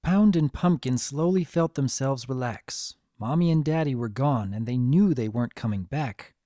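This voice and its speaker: A man reading a scripted story as narrator, with a gentle, expressive dramatic delivery. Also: mid-range voice, moderate pace, no room sound, studio quality.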